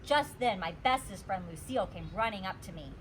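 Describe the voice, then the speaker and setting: A woman reading a children's storybook aloud as a teacher, with expressive, deliberate storytelling. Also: medium pitch, slight background noise, rough recording.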